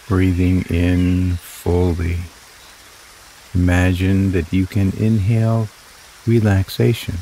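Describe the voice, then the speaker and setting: A man guiding a relaxation meditation in slow, soothing, drawn-out phrases. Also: low-pitched, little pitch movement, dark tone, slight background noise, poor audio. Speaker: meditation guide. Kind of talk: guided meditation narration.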